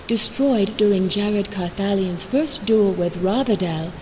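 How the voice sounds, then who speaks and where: A woman playing a solemn, mystical narrator in a fantasy video game, telling of the realm in a grand, measured storyteller delivery. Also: mid-range voice, darkish tone, slight background noise, lightly acted, rough recording. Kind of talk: dramatic scripted fantasy narration.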